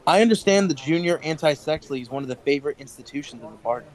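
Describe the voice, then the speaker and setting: An actor playing a jittery male clerk in a radio play. His voice sits a little high, and he answers hesitantly and defensively. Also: expressive, rapid-fire, lightly acted, poor audio. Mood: guarded. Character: guarded, nervous male office worker. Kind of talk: audio drama acting.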